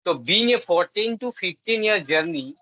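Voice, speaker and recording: A man whose first language is Hindi or Bengali, talking in a casual English practice conversation, halting with many pauses and restarts. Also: sits high, lively intonation, sharp tone, rough recording. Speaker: conversation partner.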